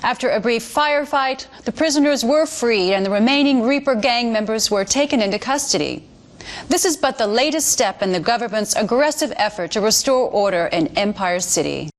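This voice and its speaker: A female American news anchor from a video game. Her voice sits fairly high, and she delivers scripted bulletins with measured, formal authority. She comes across as an earnest, polished mouthpiece for the government. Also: expressive. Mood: polished, upbeat. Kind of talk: scripted news broadcast narration.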